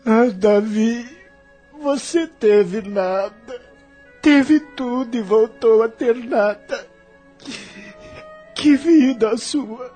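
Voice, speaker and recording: Broken Brazilian vagrant in radio drama: slow, mellow, theatrical lament over music. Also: male voice, very high voice, heavily acted. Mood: tearful anguish. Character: remorseful, broken male vagrant recalling his lost life.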